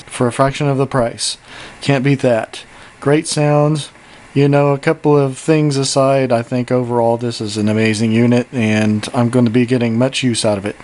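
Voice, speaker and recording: A man's voice: mid-range voice, darkish tone. A man giving a casual product review and demonstration as a synthesizer reviewer. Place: indoor home studio with synths. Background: synthesizer and drum machine music.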